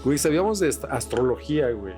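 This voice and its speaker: A man's voice: mid-range voice, very animated, quick pace, warm tone, soft background music. A man with a Mexican accent talking casually on a podcast, informal, slangy and opinionated. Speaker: podcast co-host.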